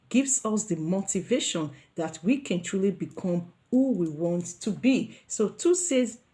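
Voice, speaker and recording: A woman's voice: mid-range voice, darkish tone. Nigerian mama talking to her viewers, earnest and repetitive, lots of "like" and restarts. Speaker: vlogger. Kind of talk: motivational talk to camera.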